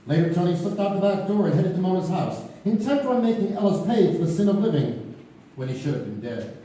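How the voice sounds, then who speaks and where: An actor playing a measured storyteller, narrating a live stage radio play. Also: male voice, sits high, animated, mellow. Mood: storytelling. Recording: echoey theater, distant mic, faint noise.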